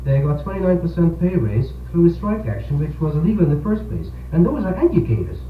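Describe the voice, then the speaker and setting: A man, a priest co-hosting a local radio discussion, speaking earnestly and haltingly with repeated words on a 1970s recording. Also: on the high side, sing-song, far from the mic. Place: radio program, distant-sounding and echoey. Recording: tinny, echoey 1970s radio aircheck, humming noise.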